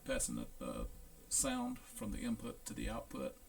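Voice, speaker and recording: A man talking through a hands-on equipment check as a repair technician, in a casual, unhurried, halting way, thinking aloud. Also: on the low side, wildly swooping pitch, creaky. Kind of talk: casual hands-on demonstration narration.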